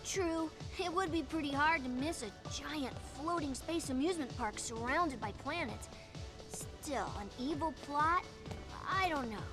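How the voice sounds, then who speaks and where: A woman's voice: sits high, unhurried, over music. A woman with an American accent voicing an earnest, brainy little boy fox sidekick in a cartoon. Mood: doubtful.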